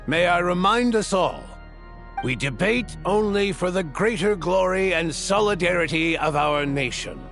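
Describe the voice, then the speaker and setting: Game role: ceremonious parliamentary chairman, higher-set gravelly voice, swooping formal delivery. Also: fairly bright tone, heavily acted. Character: formal, measured male chairman of a political assembly. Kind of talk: scripted video game acting, formal proceedings.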